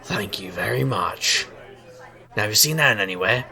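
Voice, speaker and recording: An actor playing a wry, hard-drinking private eye and street magician in a noir audio drama, gravelly and wisecracking. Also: male voice, lowish voice, expressive, normal speed, sharp tone, full character voice. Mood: casual, teasing. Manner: wisecracking, sardonic. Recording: crowd murmur behind.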